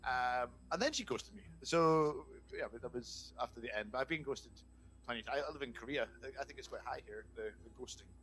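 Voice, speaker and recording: A man chatting casually as a podcast guest in a halting, self-mocking, jokey way. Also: medium pitch, fairly bright tone, a little hiss or hum, rough recording. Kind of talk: casual chat.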